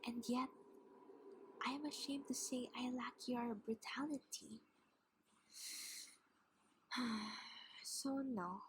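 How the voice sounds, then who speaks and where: A woman playing a vengeful, mocking vampire queen who gloats over a captive in a slow, deliberate, taunting ASMR roleplay. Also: on the high side, creaky, a little hiss or hum, poor audio.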